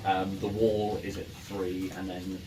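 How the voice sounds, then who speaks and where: A man with a lowish voice narrates a tabletop game as its game master. His delivery is hesitant and stop-start, as he improvises the scene. He sits away from the microphone, with clear noise around him. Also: flat delivery, measured pace, reverberant. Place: in-person game room with ambient sound. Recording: noisy room, distant microphone.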